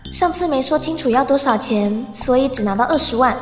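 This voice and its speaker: A woman's voice: high voice, lively intonation, brisk. A woman playing a guarded, scheming teenage schoolgirl with a bitter edge in a radio drama. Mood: brooding. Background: faint music.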